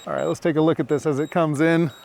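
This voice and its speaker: Higher-voiced man, casual outdoor vlogger. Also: fairly even intonation, quick pace, vocal fry, a little mellow, a little hiss or hum. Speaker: drone pilot and video host. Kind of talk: casual running commentary to camera while demonstrating gear. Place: outdoors in open dry hills.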